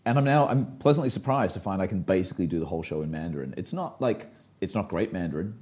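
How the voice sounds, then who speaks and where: A man co-hosting a casual talk, telling stories in an articulate, wordy way with animated emphasis. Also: sits low, quick pace. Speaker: magician, podcast co-host. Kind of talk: casual conversational storytelling.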